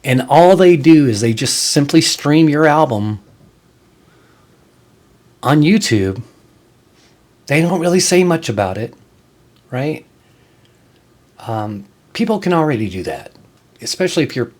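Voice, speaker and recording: A man hosting his own channel, talking casually to viewers in an informal, explanatory and somewhat halting way. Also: medium pitch, expressive, a little mellow. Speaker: host of a channel on music reviews and tabletop games.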